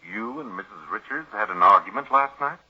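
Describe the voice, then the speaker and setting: An actor playing a measured, probing family doctor in an old-time radio mystery. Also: male voice, on the low side, lively intonation, husky. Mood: suspicious. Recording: muffled old radio recording.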